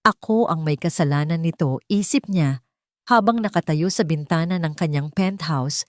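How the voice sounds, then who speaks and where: A woman's voice: lowish voice, a little mellow, no room sound, clean studio sound. A woman with a Filipino accent reading a scripted, dramatic audio story as its narrator.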